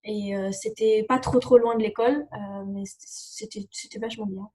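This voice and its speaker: A woman's voice: medium pitch, fairly even intonation, fairly quick, dark tone. A young woman whose first language is English, answering interview questions casually with many fillers and restarts. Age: young adult. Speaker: exchange student guest.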